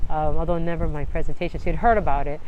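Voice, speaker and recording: A woman's voice: low voice, steady tone, fast talker, a little mellow, slight background noise, low-quality recording. A middle-aged woman from Hawaii, a college dean eagerly telling history in a casual interview and often checking in with "right?".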